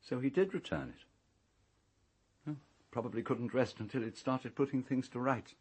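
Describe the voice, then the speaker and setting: An actor playing a suave, cool owner of an island castle in a radio drama, British, with clipped, dryly cutting delivery. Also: male voice, medium pitch, moderate pace, darkish tone, husky, no room sound, close to an everyday voice. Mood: pondering.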